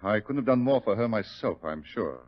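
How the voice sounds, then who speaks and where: An actor playing a polite, evasive doctor feigning concern, with ingratiating and sometimes hesitant speech in a 1940s radio mystery. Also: male voice, lowish voice, lively intonation, a little fast, natural voice. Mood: courteous, sorrowful. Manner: courteous, ingratiating, hesitant when pressed. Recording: muffled old 1940s radio recording.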